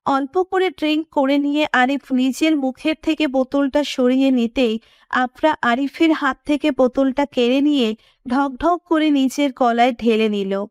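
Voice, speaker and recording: A woman's voice: high-pitched, no echo, clean studio sound. A woman reading scripted narration for a romantic story as its narrator.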